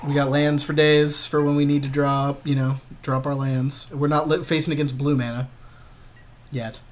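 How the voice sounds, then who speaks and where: A man's voice: on the high side, little pitch movement, normal speed. A man co-hosting a podcast, bantering casually and profanely with colloquial jokes while commentating on a card game. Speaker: podcast co-host playing an online card game.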